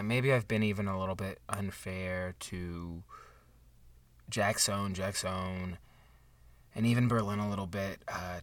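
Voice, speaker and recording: A man's voice: low voice, a bit bright, no echo, recorded from a distance. A man playing an awkward, sincere writer with a hesitant delivery, co-hosting a book podcast in an improvised audio comedy. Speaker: podcast co-host.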